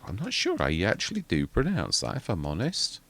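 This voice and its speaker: A man's voice: lowish voice, wildly swooping pitch, fairly quick. A man, rambling through casual commentary on a farming game and often correcting himself mid-sentence. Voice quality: quiet voice. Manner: rambling, self-correcting, muttering asides. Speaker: gaming channel host.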